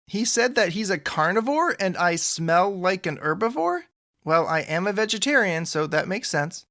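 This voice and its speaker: Creaky, awkward, wry misfit. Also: male voice, high voice, animated, normal speed, bright, forceful tone, exaggerated voice. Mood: wry, friendly. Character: troubled, awkward, wry man cursed with antlers. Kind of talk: audio drama dialogue.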